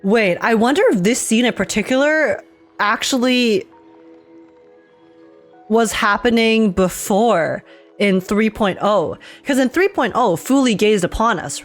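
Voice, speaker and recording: Chatty young woman reacting to a game. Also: mid-range voice. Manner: chatty, informal, sweary, theorizing aloud. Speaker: gaming streamer. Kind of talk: casual game reaction commentary. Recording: music playing behind the voice.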